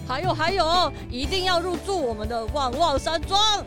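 Very high-pitched little puppy Wanda. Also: female voice, animated, moderate pace, music in the background. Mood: excited. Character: earnest little puppy, innocent grandchild innkeeper Wanda. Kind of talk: children's audio drama acting.

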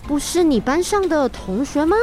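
Lively, high-voiced curious mother. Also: normal speed, faint music behind. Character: curious, caring mother running a night market stall. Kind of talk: children's radio drama acting.

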